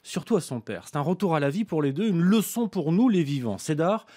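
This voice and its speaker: Middle aged guy doing radio book reviews, soft mellow tone, bit on the higher side, studio mic. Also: lively intonation, brisk. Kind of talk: scripted book review on radio, reading aloud. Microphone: studio microphone, headset monitoring.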